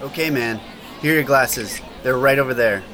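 An actor playing a helpful, concerned bystander on the street in an audio drama, casual and solicitous. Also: male voice, mid-range voice, normal speed, pushed and bright, close to an everyday voice. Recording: busy street crowd and noise behind.

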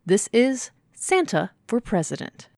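A woman announcing a story introduction in a smooth, measured way. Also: on the high side, very animated, a little mellow, no room sound. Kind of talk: scripted introduction.

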